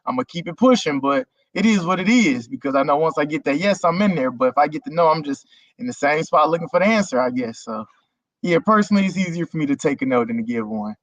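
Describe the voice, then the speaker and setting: Man, guest content creator, rambling casual talk, slightly high voice, dry lo-fi stream audio. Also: expressive, quick pace, fairly bright tone, no echo. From Germany. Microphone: video call.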